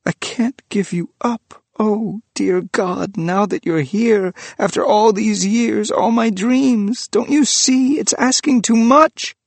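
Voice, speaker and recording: A man's voice: mid-range voice, huge pitch swings, drawn-out words, creaky, long pauses, a little mellow, slightly rough, no room sound, voice pushed up, heavily acted. A man voicing a desperate young male priest who cries out in frantic, pleading outbursts. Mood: anguished.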